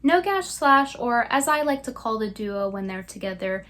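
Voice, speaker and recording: A woman's voice: a little high, big pitch swings, moderate pace, fairly bright tone. A woman delivering scripted commentary as a YouTube commentator.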